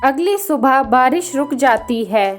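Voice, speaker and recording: A woman reads a scripted story for children. Her voice is notably high and her pacing slow. Faint music accompanies her. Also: animated. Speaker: narrator.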